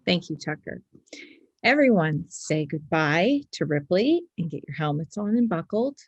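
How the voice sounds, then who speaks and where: Woman acting earnest teenage girl, husky, crackly low register, drama read-through, dry sound. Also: sing-song, a little slow, no echo, lo-fi sound, full character voice. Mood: friendly. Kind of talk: screenplay table read.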